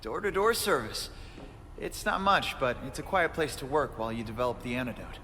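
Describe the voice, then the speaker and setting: An actor playing a wisecracking young American superhero in a video game, earnest and restless, with a youthful voice and glib delivery. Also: male voice, medium pitch, lively intonation, fairly quick, in a large hall, noisy background, close to an everyday voice. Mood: breezy. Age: young adult. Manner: glib, quipping. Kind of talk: video game cutscene acting.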